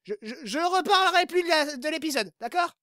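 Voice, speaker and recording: A man's voice: very high voice, animated, brisk, sharp tone, no room sound, clean studio sound, heavily acted. A man playing a panicky, stammering and apologetic pirate in a comic audio drama. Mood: nervous, apologetic.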